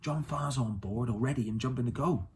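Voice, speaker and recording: Man voicing a hushed, urgent dockside guard. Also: medium pitch, brisk, a little hiss or hum, close to an everyday voice. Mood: hushed urgency. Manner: quiet, dialect grammar. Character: male dock gate guard, hushed and urgent.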